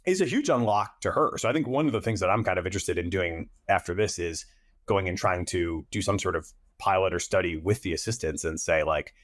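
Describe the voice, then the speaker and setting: A man's voice: deep voice, animated, brisk, no echo, clean studio sound. A man, asking long conversational questions as an interview host. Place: indoors on a video call.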